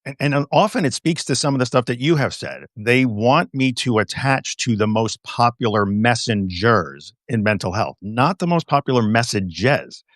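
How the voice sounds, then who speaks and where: A man's voice: mid-range voice, lively intonation, normal speed, hardly any pauses, fairly bright tone, dry recording, studio quality. A man speaking as a therapist guest in a conversational interview, frank and emphatic.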